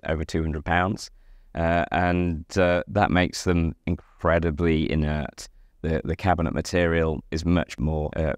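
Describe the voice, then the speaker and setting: A man's voice: very deep voice, no echo, studio quality. A middle-aged man explaining a product in an unscripted interview, hesitant and often repeating words as he goes. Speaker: loudspeaker company spokesman. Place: dim indoor demo room, seated.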